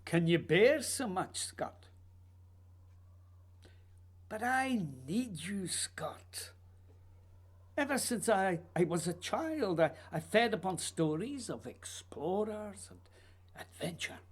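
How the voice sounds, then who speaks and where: An actor playing an earnest, restless writer, needy and intense, in a theatrical, pleading radio drama style. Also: male voice, high voice, expressive, slow, long pauses, exaggerated voice. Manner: theatrical, pleading, literary phrasing. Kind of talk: radio play acting.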